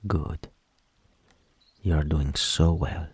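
A man leading a guided meditation in a soft, soothing voice with gentle instructions that trail into whispers. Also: rumbling low, steady tone, breathy edge, no echo. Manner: slow, gentle instructions with long pauses.

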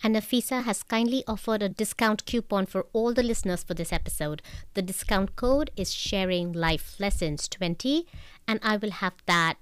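A woman hosting a podcast interview in an even, mid-pitched voice. She paces her introductions with care and slows down on the words she stresses. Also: clear, resonant tone. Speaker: podcast host.

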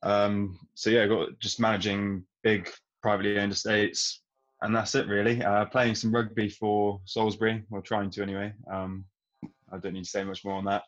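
A man's voice: lowish voice, little pitch movement, normal speed, dull audio. A young man chatting casually with friends, easygoing and teasing. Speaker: guest catching up with friends. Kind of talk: casual chat.

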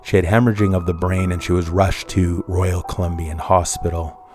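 A middle-aged man speaks in a very low, deep voice. He tells a personal story in a somber, rambling way, stopping often to correct himself. Faint music plays behind him. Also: little pitch movement, moderate pace. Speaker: podcast host.

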